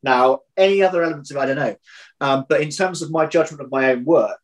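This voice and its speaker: A man's voice: a little high, fast talker. A man in his thirties talking casually and reflectively in an interview, rephrasing as he thinks aloud on a video call. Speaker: audio drama writer, interview guest. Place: home room, indoors. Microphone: video call, computer at arm's length.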